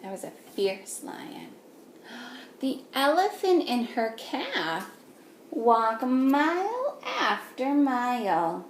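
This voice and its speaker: Woman, children's teacher, sing-song storybook reading, unhurried, animated intonation, roomy sound with faint noise. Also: high-pitched. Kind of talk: picture-book read-aloud with simple questions to children. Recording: echoey room with faint noise.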